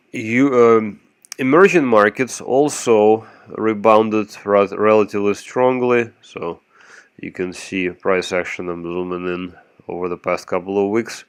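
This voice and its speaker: A man with a cold hosting a market recap, explaining charts in a measured way with frequent pauses and ums. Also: on the low side, clear, resonant tone, dry recording. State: has a cold. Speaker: market analyst host. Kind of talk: screen-share market recap.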